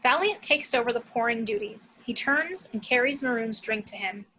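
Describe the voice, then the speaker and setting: A woman's voice: a little high, expressive, normal speed, pushed and bright, a little hiss or hum, poor audio. A woman reading screenplay action and scene headings as scripted narration.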